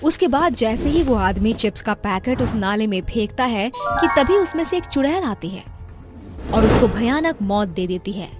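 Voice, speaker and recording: A woman's voice: a little high, animated, normal speed. A woman reading a scripted story as its narrator, with music behind her. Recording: loud music under the voice. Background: background music.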